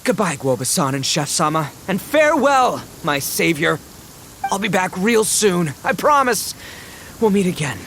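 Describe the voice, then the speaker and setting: An actor playing a brash, goofy, overconfident oni gang boss in a video game, with slangy, theatrical bragging. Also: male voice, high-pitched, sing-song, measured pace, vocal fry, fairly bright tone, a little hiss or hum, heavily acted. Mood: dramatic farewell. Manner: slangy, bragging, theatrical, stammers when flustered. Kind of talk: animated video game voice acting.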